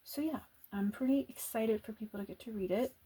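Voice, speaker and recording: A soft-spoken woman doing casual ASMR chat as an artist at work, talking in an unhurried, musing way. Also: a little high, slightly rough. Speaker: illustrator and comic artist narrating a drawing video.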